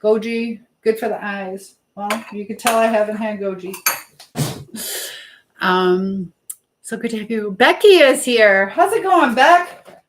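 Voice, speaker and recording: A woman's voice: medium pitch, slow pace, slight background noise. A woman hosting a casual live chat in a chatty way, greeting viewers and reading out comments. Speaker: livestream host. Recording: roomy, echoey indoor sound.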